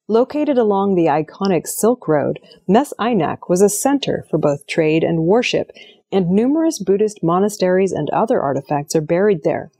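A woman's voice: on the low side, gravelly, warm tone. A woman reading scripted news narration as a news presenter. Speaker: news reader.

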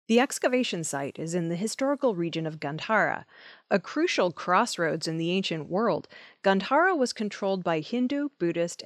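A woman's voice: lowish voice, no room sound, clean studio sound. A woman reading scripted news stories as the presenter of an audio news program. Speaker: news reader.

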